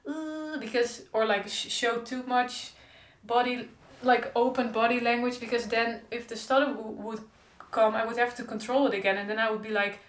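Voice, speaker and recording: A woman's voice: on the high side, steady tone, normal speed. A young woman who stutters openly with repeated sounds, talking in a casual diary-style vlog. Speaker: stuttering vlogger. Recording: echoey room.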